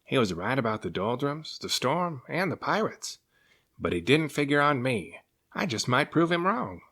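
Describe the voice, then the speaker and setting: A man voicing a gruff but protective sea serpent for a children's storybook, grumbling and musing to himself. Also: mid-range voice, big pitch swings, normal speed, fairly bright tone, pitched above the performer's own voice, heavily acted. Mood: muttering, determined.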